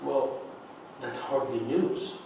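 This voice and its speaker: A man's voice: mid-range voice, fairly even intonation, slow pace, creaky. Guy acting betrayed friend, bitter n scornful, stage play read, distant mic, big echo. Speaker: actor reading a script. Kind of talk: dramatic table read. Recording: very echoey, distant mic, noisy room.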